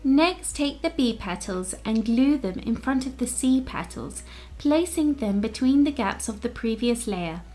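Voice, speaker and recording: Woman, slightly high voice, scripted instructional narration, craft tutorial presenter, step-by-step delivery. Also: lively intonation, unhurried, darkish tone, soft background music.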